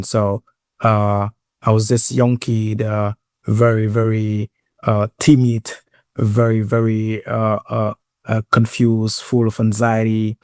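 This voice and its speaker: A man from Cameroon with a Cameroonian accent, telling his story as an interview guest in an earnest, halting way with repeated words. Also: lowish voice, darkish tone, no room sound, studio quality. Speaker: guest, sports inclusion program lead.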